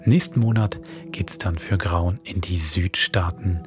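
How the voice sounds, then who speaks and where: A man hosting a podcast, reading a scripted outro in a hushed, breathy delivery. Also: sits low, measured pace, faint music behind. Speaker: podcast host.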